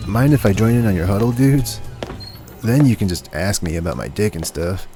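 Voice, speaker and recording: A man's voice: fairly low pitch, animated, moderate pace, dark tone, faint music behind, lightly acted. A man playing a laid-back, dim young stoner who is easily amazed, speaking in slangy slacker style in an audio comedy. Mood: casual. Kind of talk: comedic audio drama acting.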